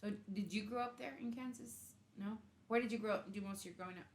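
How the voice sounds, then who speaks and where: A woman's voice: mid-range voice, fast, a little hiss or hum. A woman hosting a casual interview chat, talking loosely and filling her sentences with "like". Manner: chatty, peppers talk with "like". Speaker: podcast host. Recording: roomy echo.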